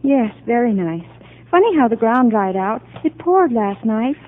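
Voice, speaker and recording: An actress playing a confused, fragile married psychiatric patient, hesitant and bewildered, in 1950 radio drama style. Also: female voice, fairly high pitch, sing-song, a little slow. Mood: childlike, anxious. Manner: hesitant, bewildered, childlike questioning. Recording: muffled narrow-band 1950 radio broadcast.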